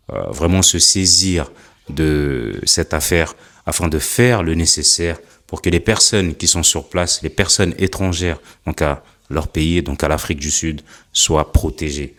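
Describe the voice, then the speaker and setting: A man's voice: a bass voice, a bit bright. A man delivering earnest spoken commentary, building his point through repeated rhetorical questions. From Africa.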